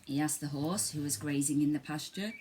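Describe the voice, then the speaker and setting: A woman reading a children's story aloud in an expressive, dramatic way. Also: low voice, brisk, heavy background noise. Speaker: storybook reader.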